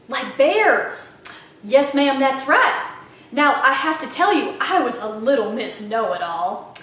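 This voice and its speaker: A woman's voice: sits high, a bit bright. A woman voicing a proud old small-town Southern lady librarian who tells tales with dramatic, repeated emphasis for a children's storybook. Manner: emphatic, repeats phrases for effect. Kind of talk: children's audiobook character voice. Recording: very echoey room, slightly distant mic.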